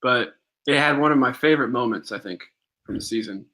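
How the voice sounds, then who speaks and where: A young man co-hosting a live podcast. He recounts a story loosely, with plenty of "uh"s and pauses. His voice is bright and forward, in a middle pitch. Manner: relaxed, recounts stories with fillers and pauses. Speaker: podcast co-host. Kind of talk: casual live-stream chat and storytelling.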